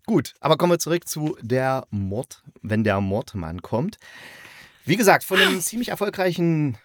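A man's voice: on the high side, sing-song, moderate pace, no echo. A man chatting casually and colloquially as the host of a review podcast. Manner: colloquial, conversational. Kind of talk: casual review chat about an audio drama.

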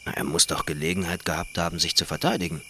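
An actor playing a sceptical, astonished traveller in a radio play, speaking in probing, incredulous questions. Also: male voice, deep voice, steady tone, fast talker, fairly bright tone, slight background noise. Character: inquisitive foreign traveller, astonished, sceptical visitor. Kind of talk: German literary radio play acting.

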